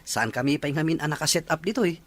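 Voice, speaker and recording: A man's voice: fairly high pitch, fast, lightly acted. A young man playing a polite, earnest boyfriend in an Ilocano audio drama, speaking a little hesitantly. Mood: friendly. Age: young adult.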